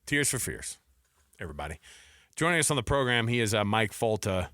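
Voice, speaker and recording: An American man hosting casual sports talk radio, chatting informally and telling things in colloquial, animated phrases. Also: on the low side, a little fast, no room sound, clean studio sound. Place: radio studio.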